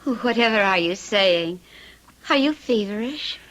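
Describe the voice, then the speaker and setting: An actress playing a devoted, anxious young sweetheart in an old-time radio drama, tender and melodramatic in manner. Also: female voice, mid-range voice, animated, unhurried, a bit bright. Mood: worried, soothing. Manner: tender, breathless endearments, melodramatic.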